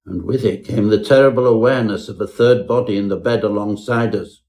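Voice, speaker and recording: A male reader plays a self-important Edwardian gentleman scholar. His voice sits on the low side. He tells a mock-Victorian ghost story with florid, melodramatic relish, as an audiobook narrator. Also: fairly quick, lightly acted. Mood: haunted dread. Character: pompous Edwardian professor narrating his own horror tale.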